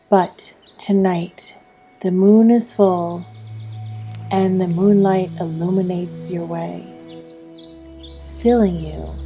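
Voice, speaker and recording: A woman leading a guided meditation as an instructor, speaking in a measured, soothing way with long pauses. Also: lowish voice, dark tone. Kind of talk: slow guided meditation narration. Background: music and birdsong.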